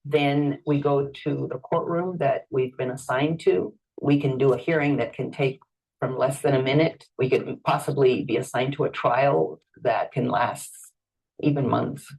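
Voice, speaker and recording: Husky low-voiced Salvadoran woman, explaining. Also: fairly even intonation, unhurried, a little mellow, low-quality recording. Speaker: court interpreter. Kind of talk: documentary interview narration.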